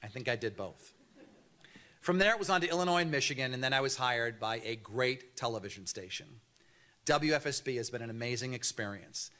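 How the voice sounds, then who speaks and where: A man in his fifties with a mild Boston accent, a TV news anchor giving a prepared award acceptance speech at a podium, earnest and measured with light storytelling humor. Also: medium pitch, expressive, pushed and bright. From Boston area, USA. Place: banquet hall podium. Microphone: podium microphone.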